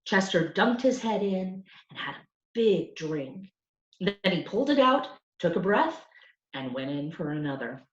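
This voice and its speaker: A woman reading a children's novel aloud as a storyteller, with an animated, deliberate delivery. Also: lowish voice. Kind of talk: expressive scripted audiobook narration for children. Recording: roomy, dull lo-fi home recording.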